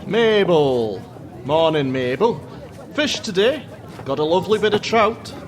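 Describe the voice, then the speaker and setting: A man's voice: fairly high pitch, very animated, measured pace, slight background noise. A man playing a cheery, joking Scottish fishmonger bantering in a radio play. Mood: jovial banter. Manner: jokey, salesman-like banter. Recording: busy street bustle behind voice.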